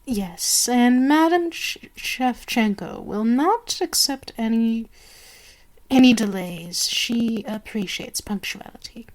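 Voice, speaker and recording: Casting: woman to play a haughty vampire queen for ASMR roleplay, higher-pitched, slow, imperious and condescending. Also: lively intonation, low-quality recording. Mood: commanding, possessive. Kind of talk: ASMR roleplay monologue to the listener.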